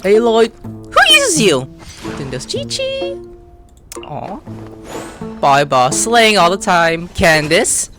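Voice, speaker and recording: Animated gaming streamer with wildly swinging pitch. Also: slow pace, pauses often, over music. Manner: exclamatory, swings from muttered asides to high squeals; slangy, playful, talks to the game characters. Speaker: gaming YouTuber and host. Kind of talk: excited gameplay commentary, casual chat.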